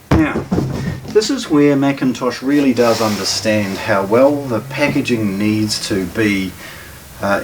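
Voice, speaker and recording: A man gives casual, unscripted unboxing commentary, halting and matter-of-fact as he thinks aloud while handling things. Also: fairly low pitch. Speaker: presenter of a hi-fi shop's unboxing video. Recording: distant mic, noisy room with handling clatter.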